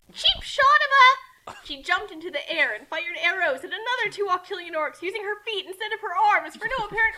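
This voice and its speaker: Female story reader, high-pitched and swooping, animated comic narration. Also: rough recording. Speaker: reader of fan fiction.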